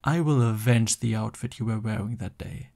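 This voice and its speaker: A man's voice: on the low side, normal speed, creaky, darkish tone. A man playing a young supervillain boyfriend in an ASMR roleplay, theatrical and boastful but easily flustered and affectionate. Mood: eager, boastful.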